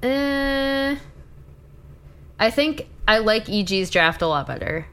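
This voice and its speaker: A woman co-hosting a podcast from her apartment, sick, with her voice cracking and deeper than usual, chatting in a relaxed way. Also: slow, clear, resonant tone. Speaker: podcast co-host. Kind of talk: casual podcast chat.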